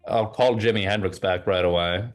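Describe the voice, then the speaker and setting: A man's voice: low voice, fairly even intonation, normal speed, soft background music. A man from Oslo who grew up bilingual in English and Norwegian, chatting informally as an interview guest and often repeating words as he thinks. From Oslo, Norway. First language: bilingual English and Norwegian from childhood. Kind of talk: casual conversational chat.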